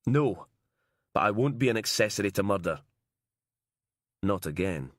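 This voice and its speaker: A man's voice: fairly low pitch, lively intonation, normal speed, no echo, studio quality. A man reading a tense, stubborn male detective sergeant who presses hard. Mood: alarmed. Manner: urgent, pressing.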